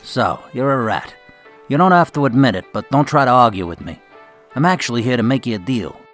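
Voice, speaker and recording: A man's voice: mid-range voice, lively intonation, brisk, a bit bright, soft background music, close to an everyday voice. A man playing a composed, menacing mob boss in a radio drama, talking with cool condescension. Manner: condescending, coolly controlled.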